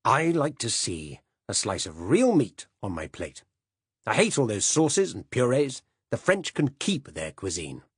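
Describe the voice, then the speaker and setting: A man's voice: mid-range voice, very animated, a little slow, breathy edge, dry recording, clean studio sound, voice pushed up. A man reading aloud voices a blunt, domineering older man who growls his opinions at the dinner table. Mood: gruff commanding. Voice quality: growling, commanding.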